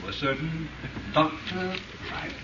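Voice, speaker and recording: A firm, urbane gentleman detective in old radio drama style. His voice is fairly high and measured, on a noisy, echoey vintage recording. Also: animated, dull audio. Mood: firm, concerned. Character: urbane male amateur sleuth, protective, firm. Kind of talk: 1930s radio drama acting.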